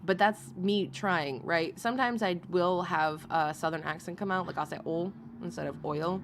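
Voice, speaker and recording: A woman's voice: on the low side, moderate pace, background noise. Chatty woman rambling to her chat. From Alaska. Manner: chatty, rambling, laughs often, asides to chat. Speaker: commentary streamer. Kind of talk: casual commentary chat.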